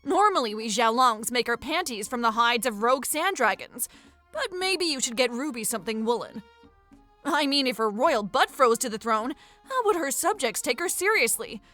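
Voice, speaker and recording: A cheeky young dragon-tending big sister, voiced by a woman, high and lively in pitch. She is brash and playful, rambling expressively in a close, dry recording with music behind. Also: moderate pace, no room sound. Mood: cheeky, excited. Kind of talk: animated fantasy fandub acting.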